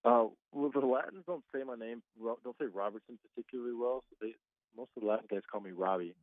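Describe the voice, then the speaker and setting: A man's voice: medium pitch, quick pace, no room sound. Young man on a tinny phone line. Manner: relaxed, chatty, laughs often. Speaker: guest baseball player. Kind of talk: casual interview by phone. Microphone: phone call.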